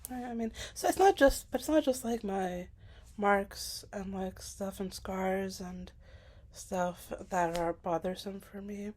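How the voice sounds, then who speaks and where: A woman's voice: medium pitch, slow. A young woman in a casual, personal vlog monologue. She talks hesitantly and self-consciously, fills her sentences with filler words, and sometimes drops to a whisper. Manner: soft-spoken, at times dropping to a whisper. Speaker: vlogger.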